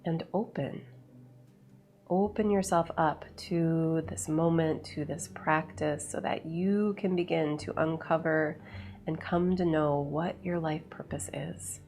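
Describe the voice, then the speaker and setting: A woman leading a guided meditation in a soothing, unhurried way, with measured phrases and long pauses. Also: sits low, fairly even intonation, darkish tone. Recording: voice over background music. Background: background music.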